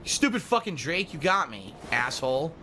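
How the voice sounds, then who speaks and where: A man's voice: on the high side, very animated, moderate pace, background noise. A man in his thirties rambling uncertainly and swearing while commentating his own gameplay live. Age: 30s. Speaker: streamer.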